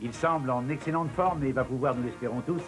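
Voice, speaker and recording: A man's voice: mid-range voice, fairly quick, over music, natural voice. A man playing an eager TV sports commentator in a cartoon, with a polished announcer delivery. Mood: eager anticipation. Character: eager male TV sports commentator at ski races.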